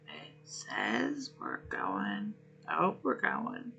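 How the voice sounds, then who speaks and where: A man hosting a laid-back stream, his voice worn hoarse and deeply gravelly with heavy fry. He talks slowly and unhurriedly, dropping friendly hellos to viewers between pauses. Faint music plays softly behind him. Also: wildly swooping pitch, lo-fi sound. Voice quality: rough, gravelly. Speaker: livestream host. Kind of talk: relaxed gaming stream chat, greeting viewers.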